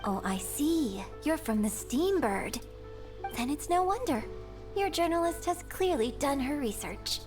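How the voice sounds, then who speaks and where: Graceful high-voiced young opera star. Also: female voice, lively intonation, distant mic. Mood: curious, polite. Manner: refined, courteous, measured phrasing. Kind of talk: video game voice acting. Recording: game music bed behind voice.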